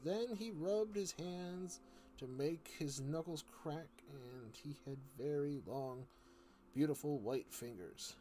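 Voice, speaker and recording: A man reading a children's story aloud as a storyteller, in a halting way. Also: on the high side, dark tone. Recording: noticeable background noise.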